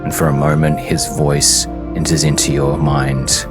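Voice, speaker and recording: A man narrating a tabletop fantasy game as game master, deliberate and portentous, with long dramatic pauses. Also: extremely low pitch, little pitch movement, loud music behind the voice.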